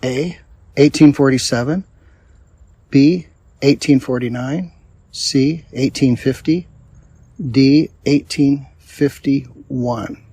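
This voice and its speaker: A man from Wisconsin, host of a reading channel, reading quiz questions slowly with pauses. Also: mid-range voice, mellow, husky, no echo. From Wisconsin, USA. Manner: slow, deliberate reading with pauses.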